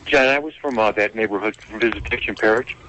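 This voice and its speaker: A man calling into a radio show, earnest and hesitant with many pauses. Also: fairly low pitch, bright, forceful tone. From Chicago's South Side. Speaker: caller. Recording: dull phone line with faint hiss.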